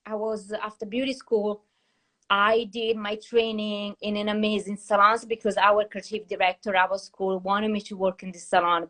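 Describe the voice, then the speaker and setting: Woman, Italian accent, chatty storyteller, live video call, restarts mid-sentence. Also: mid-range voice. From Italy (Tuscany). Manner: chatty storytelling with restarts and non-native grammar. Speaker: guest hairstylist. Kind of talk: casual live-stream interview. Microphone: phone in a live video call. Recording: compressed live-stream audio.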